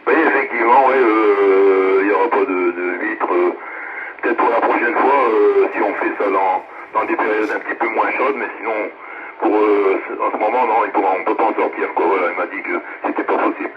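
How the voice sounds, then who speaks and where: A man talking casually and haltingly as an amateur radio operator, heard through a radio relay. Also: fairly low pitch. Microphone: two-way radio transmission. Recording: muffled, noisy VHF radio relay.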